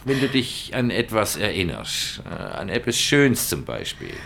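Radio drama detective: lowish, creaky voice, measured, businesslike, naturalistic delivery. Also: male voice, huge pitch swings, fairly bright tone, slight background noise, heavily acted. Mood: explaining, playful. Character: male private investigator, matter-of-fact, affectionate, businesslike.

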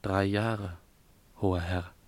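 A man's voice: low-pitched, steady tone, slow. An actor playing a dutiful male court chamberlain in a 1950s German radio drama, formal and deferential.

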